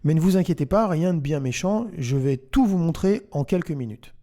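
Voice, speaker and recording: A man narrating a software tutorial, explaining things step by step as the host. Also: on the high side, expressive, moderate pace.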